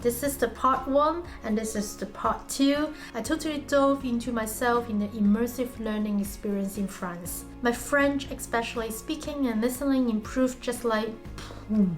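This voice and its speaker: A woman vlogger whose first language is Cantonese talks in an earnest, chatty way. Her voice sits a little high, and faint music plays under her. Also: fairly even intonation, normal speed. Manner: earnest, chatty, self-referencing. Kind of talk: casual explanatory vlog.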